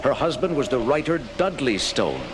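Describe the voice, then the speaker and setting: An actor playing a skeptical writer, brisk and dismissive, traveling with his wife in a radio drama. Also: medium pitch, big pitch swings, close to an everyday voice. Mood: insistent. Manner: brisk, scoffing. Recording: very noisy home taping of radio.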